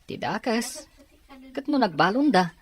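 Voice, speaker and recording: A woman's voice: fairly high pitch, big pitch swings, measured pace. A woman playing a respectful young student talking with a mother in an Ilocano radio drama. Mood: patient.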